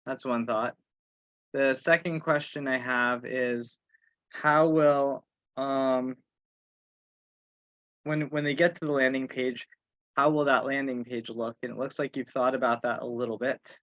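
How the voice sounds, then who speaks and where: A man's voice: medium pitch, steady tone, unhurried, pauses often, a bit bright, no echo. A man in an informal online meeting, thinking aloud and putting questions to himself as he works through his points. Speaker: meeting participant and organizer. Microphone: online conference call. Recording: slightly dull conference-call audio.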